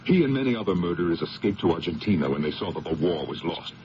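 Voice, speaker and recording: An actor playing a middle-aged district attorney, earnest, defensive and haunted, in a 1970s radio drama. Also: male voice, sits low, animated, fast, mellow. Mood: bitter. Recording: noisy, muffled 1970s radio broadcast recording.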